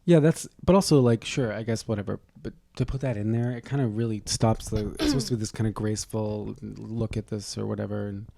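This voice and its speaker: A man's voice: on the low side, steady tone, brisk, vocal fry, hardly any pauses, warm tone, dry recording. A man co-hosting a podcast, tossing out sarcastic, casual comedic remarks. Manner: sarcastic, profane, interjecting. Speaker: podcast co-host.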